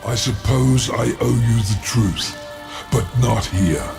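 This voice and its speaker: An actor playing a cunning, haughty demon lord in a video game, urbane, measured and condescending. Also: male voice, medium pitch, vocal fry. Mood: measured, evasive. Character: cunning, haughty male demon lord, coolly self-serving ally. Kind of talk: theatrical video game acting. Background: music.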